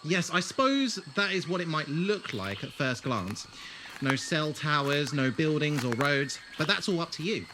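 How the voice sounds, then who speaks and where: An actor playing an eccentric, wordy alien time traveller in an audio drama, grandiose and wry. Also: male voice, on the high side, sing-song, moderate pace, noisy background. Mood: wry, teasing.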